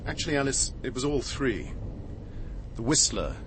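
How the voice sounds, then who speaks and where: An actor in a radio drama playing a wry, shaken latecomer with a dry, measured, wordy delivery. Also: male voice, medium pitch, big pitch swings, close to an everyday voice. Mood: wry, grim. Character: wry, shaken latecomer, dryly theatrical gentleman. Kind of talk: BBC radio drama dialogue. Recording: noisy radio drama recording.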